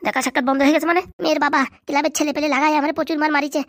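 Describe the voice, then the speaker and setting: Squeaky, rapid-fire young cartoon buddy. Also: male voice, little pitch movement, fairly bright tone, dry recording, clean studio sound. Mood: excitable. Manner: rapid, slangy, bantering. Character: excitable young male cartoon buddy, cheeky and boastful. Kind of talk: comedy cartoon dubbing in colloquial rural dialect.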